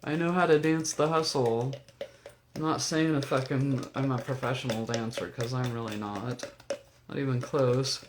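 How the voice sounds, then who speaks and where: An older woman with a deep voice, venting to her camera in a blunt, profane, rambling vlog. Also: steady tone, normal speed, slight background noise. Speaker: vlogger. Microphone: camera mic.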